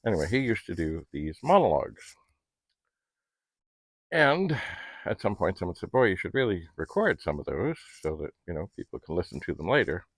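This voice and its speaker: A man's voice: sits low, sing-song, normal speed, no room sound. A middle-aged man talking casually to camera in a rambling, chatty, politely old-fashioned way, as a home vlogger. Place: basement at home.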